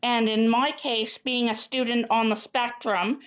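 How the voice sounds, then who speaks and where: A woman's voice: a little high, level tone, a little slow. Young woman presenting. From Canada. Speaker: presenter, PhD candidate. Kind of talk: prepared presentation with conversational reflections.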